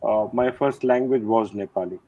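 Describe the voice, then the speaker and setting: Older general on a thin call line. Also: male voice, on the low side, dark tone, no echo. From India. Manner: measured, reflective, many "uh" pauses. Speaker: retired army general, guest. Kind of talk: interview conversation. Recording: thin phone-like line, dry.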